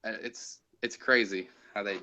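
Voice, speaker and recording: A man chatting as a guest on a stream, excitable, stumbling over fillers and laughing. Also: fairly low pitch, normal speed. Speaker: guest, video narrator. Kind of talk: casual group chat on a stream.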